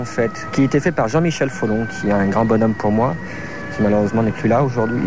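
A man in his thirties, a choreographer from France, answering interview questions in a halting way, searching for words with many fillers. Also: medium pitch. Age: 30s.

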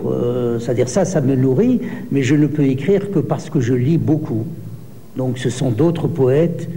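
A man in his seventies, born in Algeria, answering interview questions slowly with many hesitations. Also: medium pitch, dark tone, in a large hall. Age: 70s. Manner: slow, hesitant, many pauses and fillers. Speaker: poet.

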